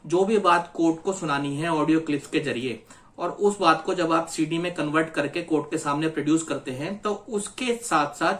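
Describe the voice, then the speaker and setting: A legal advisor with an Indian accent presents an explainer in a mid-pitched voice. The delivery is methodical and instructive, stressing key points by repeating them. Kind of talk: explanatory lesson addressed to viewers.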